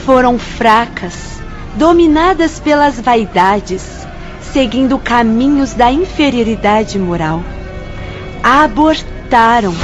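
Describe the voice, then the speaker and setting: An actress playing a grave, moralizing nun who guides students, solemn and didactic in a radio drama style with a Brazilian accent. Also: female voice, sits high, dull audio. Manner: solemn, didactic, deliberate emphasis. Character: grave, moralizing nun guiding spirit students through asylum.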